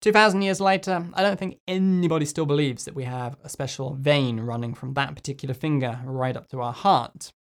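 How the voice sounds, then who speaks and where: A man hosting a solo English-learning podcast, hoarse from losing his voice, talking in a chatty, musing way with little asides. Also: fairly high pitch, fairly quick, few pauses. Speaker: podcast host and English teacher.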